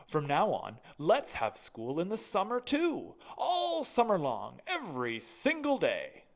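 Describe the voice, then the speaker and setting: Reader doing a proud storybook principal guy, booming, slow n grand, swoops all over. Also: wildly swooping pitch, creaky, heavily acted. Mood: excited. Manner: booming, grandly drawn-out exclamations. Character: proud, overenthusiastic male school principal.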